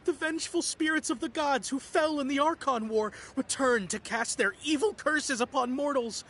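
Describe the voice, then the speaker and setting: A woman's voice: high voice, moderate pace, fairly bright tone. A woman voicing a panicky, superstitious young man in a video game, nervous and melodramatic. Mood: fearful, melodramatic. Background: game music.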